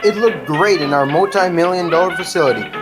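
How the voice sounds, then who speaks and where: A woman's voice: fairly low pitch, brisk. A teenage female student drama performer delivering a scripted appeal to the audience. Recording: music behind the voice.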